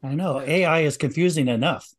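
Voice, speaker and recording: A man's voice: fairly high pitch, fairly quick. A man chatting casually, friendly and teasing. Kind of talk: casual chat.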